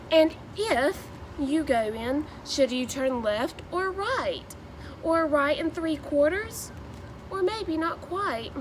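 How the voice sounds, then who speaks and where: A woman, reading aloud to children in a deliberate, sing-song storybook way. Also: sits high, a little hiss or hum. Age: 20s. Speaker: new education graduate reading a children's book.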